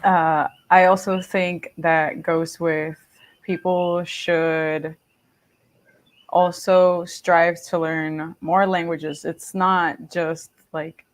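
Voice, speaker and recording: Slow-talking young woman. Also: lowish voice, little pitch movement. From Puerto Rico. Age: young adult. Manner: slow, thoughtful, trailing off into soft asides. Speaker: student interviewer. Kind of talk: academic podcast interview.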